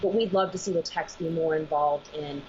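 Casting: lower-voiced woman vet, earnest guest, muffled call audio, faint hiss. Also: normal speed, mellow. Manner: earnest, thinks aloud with restarts. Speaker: veterinarian and animal massage school director. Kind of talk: remote interview guest. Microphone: video call.

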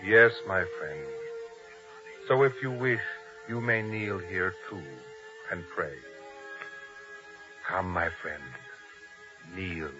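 An actor playing a gentle, devout man who comforts others, soothing and solemn, in an old-time radio drama with music behind. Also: sits low, takes it slowly, long pauses. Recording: dull old radio recording under music.

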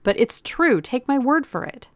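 A woman's voice: fairly low pitch, normal speed, creaky, dry recording. A woman voicing a bossy, irritable male executive in an audiobook, dismissive and blustering. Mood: insistent. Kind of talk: audiobook character acting.

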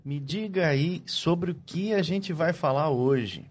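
A man's voice: fairly high pitch, takes it slowly. A man hosting a casual medical podcast, playful and joking. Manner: playful, joking, loud greetings. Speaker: podcast host.

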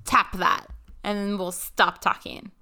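A young woman hosting a podcast, chatting and teasing in a wry, brisk way and reading quiz questions aloud. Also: medium pitch, vocal fry, bright, forceful tone. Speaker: podcast host.

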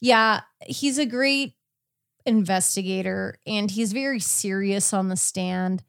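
A woman's voice: medium pitch, takes it slowly, vocal fry, fairly bright tone, smooth, dry recording, studio quality. A woman podcast host casually recapping a story in a chatty, informal way, with quoted asides. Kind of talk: casual conversational recap of a court case.

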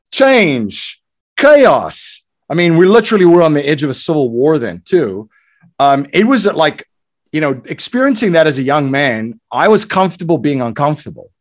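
South African-born man telling his story. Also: a little high. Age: 40s. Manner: energetic storyteller, many fillers and restarts. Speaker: guest, investor and entrepreneur. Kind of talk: podcast interview.

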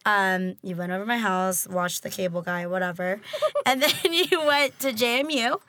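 A young woman in her twenties with a raspy voice, hosting a casual chat and teasing her guest bluntly. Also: medium pitch, sharp tone, no echo. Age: 20s. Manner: teasing, blunt, quick to joke. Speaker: podcast host.

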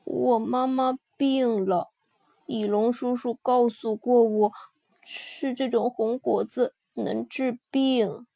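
A crybaby little boy spinosaurus, played by a woman, all high squeals and childlike pleading. The storybook voice sits far above a grown-up's, drawn out slowly. It is recorded close and dry. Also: a little mellow, no echo. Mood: sad.

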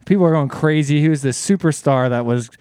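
A man's voice: on the high side, fairly quick, darkish tone, clean tone, no echo, studio quality. A man co-hosting a sports podcast, musing in a conversational way. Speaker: podcast co-host. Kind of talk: casual sports chat.

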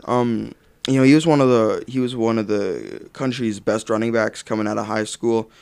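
A man's voice: on the low side, moderate pace, gravelly, clear, resonant tone, dry recording. Casual young man co-hosting a podcast. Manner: animated, loose, swears casually. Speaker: podcast co-host.

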